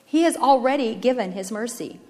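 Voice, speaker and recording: A woman voicing a patient, loving eldest brother, a gentle and earnest rescuer who pleads. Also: on the high side, expressive, fairly quick, in a large hall, close to an everyday voice. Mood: earnest, compassionate.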